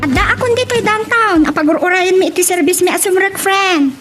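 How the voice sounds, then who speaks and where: A woman's voice: very high voice, lively intonation, fairly quick, over music. A woman playing a chatty young working woman talking with a friend in an audio love drama. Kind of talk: Ilocano radio-style love drama acting.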